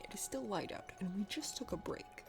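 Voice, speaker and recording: Here is a haughty, hot-tempered dragon prince from a fantasy game, acted out by a woman. The voice is gravelly and sits low for the performer, full of boasts and scorn. Music plays behind it. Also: expressive, fairly quick, close to an everyday voice. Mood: smug, mocking. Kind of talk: dramatic game character reading.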